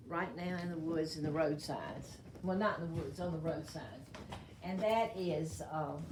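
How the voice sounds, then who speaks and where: An older woman with a low, slightly hoarse voice explains remedies in a folksy, rambling way, stopping and restarting often. She is recorded indoors at a museum's old doctor's office in an echoey room. The mic sits some way off from her. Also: darkish tone, distant mic, a little hiss or hum. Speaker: herbal medicine demonstrator. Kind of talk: casual show-and-tell explanation.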